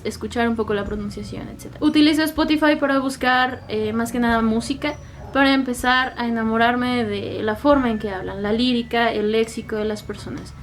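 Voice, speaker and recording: A woman's voice: fairly high pitch, slight background noise. A woman in her twenties with a Mexican accent, chatting directly to the viewer in a casual vlog about how she studies languages. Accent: Mexican Spanish. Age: 20s. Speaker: language-learning YouTuber. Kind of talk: casual explanatory vlog talk.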